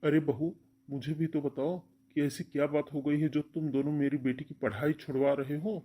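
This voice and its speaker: A man voicing a gentle, powerless ageing father, soothing and yielding, in a cartoon family melodrama. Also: a little high, level tone, moderate pace, lightly acted. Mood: questioning.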